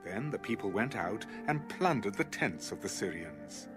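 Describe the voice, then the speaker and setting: A man's voice: lowish voice, sing-song, moderate pace. A man narrating scripture as a dramatized audio production. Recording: music under the voice. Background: background music.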